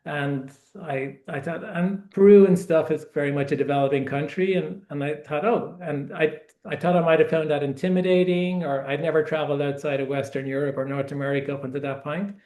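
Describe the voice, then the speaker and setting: A man in his forties with an Irish accent, his voice sitting a little higher than most men's. He tells his story in an unhurried, reflective way, often doubling words as he searches for them. The sound carries a noticeable room echo. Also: steady tone, darkish tone. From Ireland. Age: 40s. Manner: reflective, repeats words as he gathers thoughts. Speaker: guest author. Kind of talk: casual interview storytelling.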